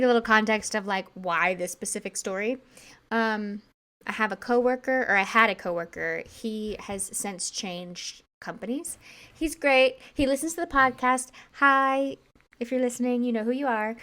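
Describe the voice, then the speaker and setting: A woman's voice: on the high side, unhurried, a bit bright. A woman co-hosting a podcast, chatting casually and a bit rambling, slightly congested. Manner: chatty and rambling, many fillers. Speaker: podcast co-host.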